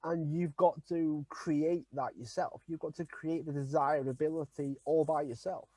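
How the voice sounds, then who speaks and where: A man's voice: fairly high pitch, steady tone, moderate pace, dark tone, no room sound. Emphatic man in a dry, close recording. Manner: emphatic, builds points by repetition. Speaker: podcaster guest. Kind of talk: candid interview talk.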